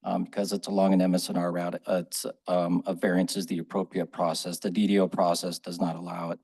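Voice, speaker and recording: A man presenting a planning case at a public hearing, steady with frequent pauses and fillers. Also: low-pitched, gravelly, breathy edge. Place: council chamber.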